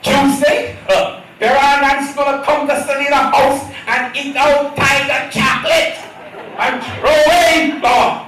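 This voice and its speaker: A man tells a story in an animated performance, his voice high and slow, climbing sharply at the punchlines. He sounds far from the mic in a very echoey room, with a faint hum behind him.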